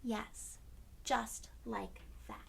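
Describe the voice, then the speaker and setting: A woman's voice: fairly high pitch, huge pitch swings, extremely slow, gravelly, slight background noise, full character voice. A woman voicing a patient mother in a children's storybook, explaining hard things to her child in an instructive way. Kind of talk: children's storybook read-aloud.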